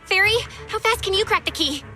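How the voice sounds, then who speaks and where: A woman's voice: very high-pitched, fairly quick. A woman acting a caring, determined young heroine in an action game, sincere and eager. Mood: focused. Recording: loud music behind.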